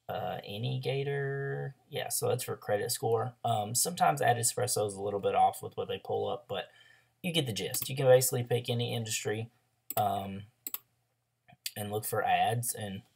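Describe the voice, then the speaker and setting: Hoarse young man with a gravelly voice. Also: mid-range voice, a little mellow. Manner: loose and chatty, lots of ums and likes. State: hoarse after a throat illness. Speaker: online ads coach. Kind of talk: casual screen-share tutorial.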